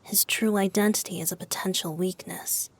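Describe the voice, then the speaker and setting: A woman's voice: lowish voice, fairly even intonation, moderate pace, husky, no room sound. A woman voicing a playful, whimsical boyish wind-god bard in a video game, airy and theatrical. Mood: measured. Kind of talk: English video game dub. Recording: music behind the voice.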